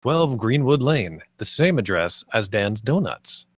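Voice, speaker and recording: Low-ish male screenplay narrator, dry sound. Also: big pitch swings, a little slow, no room sound. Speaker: stage directions narrator. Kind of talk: scripted narration at a screenplay table read.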